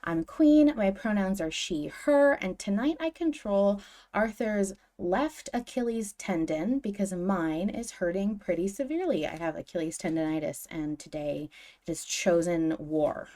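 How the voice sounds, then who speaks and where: A woman's voice: medium pitch, expressive, measured pace, warm tone. A woman hosting a podcast in casual chat, with an enthusiastic, emphatic way of talking. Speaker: podcast host.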